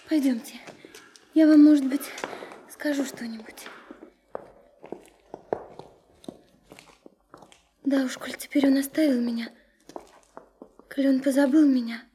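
An actress in a Russian radio play, voicing a fragile, heartbroken young girl, tender and impulsive, with breathy, tremulous, urgent delivery. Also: high voice, warm tone.

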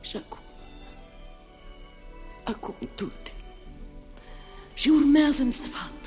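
A tender young empress and mother, as an actress plays her, speaking in a soft, hushed, intimate way. It is an old radio play recording with a long reverb trail and faint music behind her. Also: high voice, lightly acted. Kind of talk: 1960s radio drama acting.

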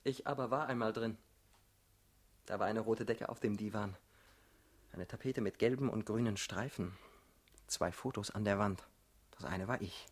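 An actor playing a bitter, talkative young ex-convict party man in a German radio drama, husky and ironic. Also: lowish voice, moderate pace, long pauses. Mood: wistful.

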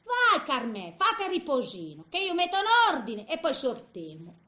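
A woman's voice: sits high, wildly swooping pitch, normal speed, sharp tone, roomy sound, exaggerated voice. An actress playing a tender, chatty eighty-year-old woman with a Ligurian Italian accent, in a homely theatrical monologue. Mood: tender, wistful. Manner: chatty, homely, hesitant storytelling with little interjections.

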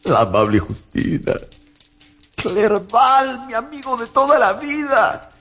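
Anguished man, strikingly high-pitched, radio drama. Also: sing-song, fairly bright tone, slightly rough, exaggerated voice. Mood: grieving. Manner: theatrical, anguished, slow and emphatic. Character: grief-stricken, vengeful young man narrating his tragedy. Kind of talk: dramatized radio novel narration and acting.

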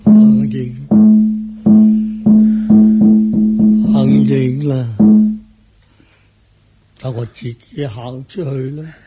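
A man with a Hong Kong Cantonese accent playing a grave, forgiving captured old king in a radio drama, solemn and drawn-out. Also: medium pitch, level tone, lo-fi sound, over loud music, close to an everyday voice. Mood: loud, solemn proclaiming.

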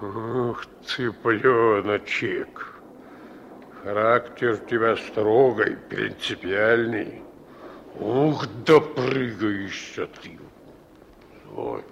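An actor playing a sly old criminal go-between in a Russian radio drama, wheedling and needling with mock affection and menace. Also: male voice, fairly low pitch, drawn-out words, gravelly, heavily acted. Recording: dull old radio recording, faint noise.

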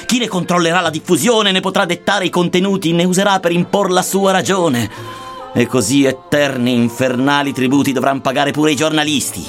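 A man's voice: on the high side, brisk. A man. His delivery is solemn and theatrical, set over music. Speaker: reader of verse. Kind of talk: declaimed recitation of archaic Dantesque verse. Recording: music under the voice.

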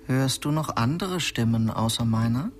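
An actor playing a calm male sleep specialist who speaks in a measured, guiding way in a radio drama. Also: mid-range voice, a little hiss or hum, natural voice. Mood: soothing, guiding.

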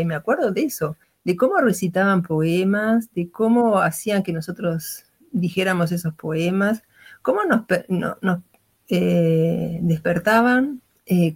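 A Uruguayan woman in her sixties speaks in a fairly low voice, thinking aloud as she tells her story. She pauses often on "eh" and restarts her sentences as she goes. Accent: Uruguayan Spanish. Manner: hesitant, many "eh" fillers and restarts. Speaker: interviewee, retired teacher. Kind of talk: reflective personal storytelling.

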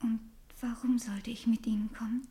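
A woman acts out a dreamy young woman in a radio drama. Her voice is high yet soft-edged and dark in tone, with a hushed, breathless intensity and a fearful temper. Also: little pitch movement, moderate pace, natural voice. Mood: wondering, doubtful. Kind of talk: German radio play acting.

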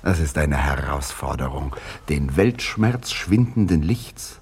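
An actor playing a vain, touchy, antisemitic young painter in a theatrical radio play, speaking in a pompous, defensive way. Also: male voice, very deep voice, animated, takes it slowly, a little mellow, breathy edge, heavily acted. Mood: proudly showing off.

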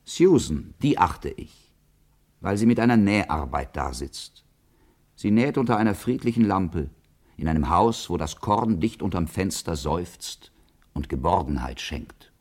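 An actor playing a bitter, self-conscious outsider in a radio play, speaking in a measured, introspective literary monologue. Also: male voice, sits low, animated, darkish tone. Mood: brooding, observant.

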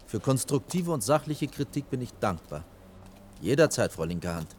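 An actor playing a dry, schoolmasterly chief architect in a radio play, proud and reproving, speaking formally as if lecturing. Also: male voice, medium pitch, sing-song, moderate pace, darkish tone, slight background noise, natural voice. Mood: stern, condescending. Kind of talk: 1980s radio play dialogue.

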